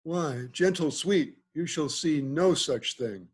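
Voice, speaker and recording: A genial Athenian duke played by a man speaks in stately Shakespearean verse. His voice sits a little high, with the lordly ease of a ruler in a stage play read over a video call. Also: lively intonation, slow pace, slightly rough, full character voice. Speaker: amateur reader in a play. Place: remote video call, reading from home.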